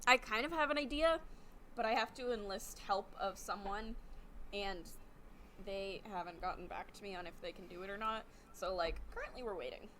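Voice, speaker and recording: A woman's voice: medium pitch, normal speed, fairly bright tone, slight background noise. A young adult woman co-hosting a podcast in playful, giggly, sing-song casual chat over a remote call. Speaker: podcast co-host.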